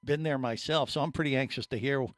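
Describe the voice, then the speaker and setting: A man's voice: mid-range voice, fairly even intonation, fast talker, fairly bright tone, no echo, clean studio sound. A man hosting a casual podcast chat, upbeat and questioning his guests. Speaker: podcast host.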